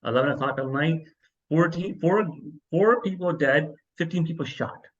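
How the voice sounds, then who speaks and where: Rambling podcast host. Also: male voice, a little high, moderate pace, dull audio. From New York, US. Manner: rambling, restarts a lot. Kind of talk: casual podcast chat.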